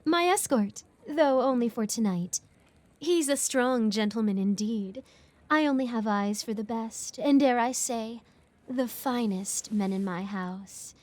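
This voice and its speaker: A woman playing a flattering, sly noblewoman in a dramatic audio role play, effusive and affectedly polite. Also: fairly high pitch, a little slow, no room sound, lightly acted. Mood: coy, excited.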